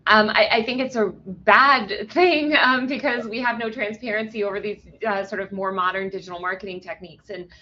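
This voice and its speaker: A woman's voice: mid-range voice, fairly even intonation, fairly quick, few pauses, sharp tone, highs cut off. A woman journalist being interviewed remotely, talking loosely with many ums and restarts while giving blunt opinions. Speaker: journalist guest.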